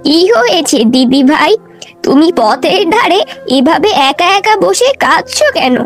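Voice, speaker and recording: A woman's voice: very high voice, expressive, a little slow, faint music behind, heavily acted. A woman voicing a kindly, soothing old village grandmother in a children's cartoon, speaking tenderly. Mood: concerned. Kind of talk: Bengali children's cartoon voice acting.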